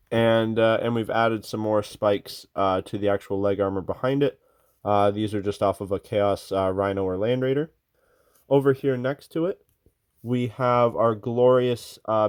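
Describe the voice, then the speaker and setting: Enthusiastic hobbyist man, smooth dry voice. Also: on the low side, a little slow, dry recording. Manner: rambling, filler-heavy, enthusiastic. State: losing his voice, a bit sick. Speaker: miniature hobby channel host. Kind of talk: casual show-and-tell walkthrough.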